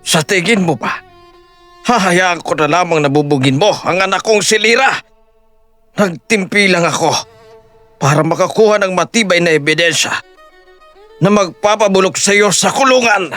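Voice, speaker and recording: A man's voice: high-pitched, moderate pace, long pauses, pushed and bright, soft background music. A man playing a stern, wealthy old father in a Filipino audio drama, controlled, menacing and protective. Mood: cold fury.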